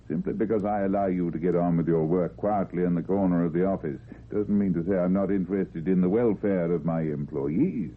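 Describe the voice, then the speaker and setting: A paternalistic, fussy male boss lectures with formal condescension. His low voice is husky and swings widely in pitch. It comes through thin and narrow, like an old radio drama. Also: heavily acted.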